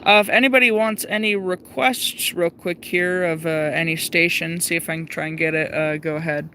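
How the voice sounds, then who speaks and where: A man's voice: a little high, fairly even intonation, moderate pace, sharp tone. Chatty man talking over radio hiss. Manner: chatty, thinks aloud, many fillers. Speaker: livestream host tuning a shortwave radio. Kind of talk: casual live commentary.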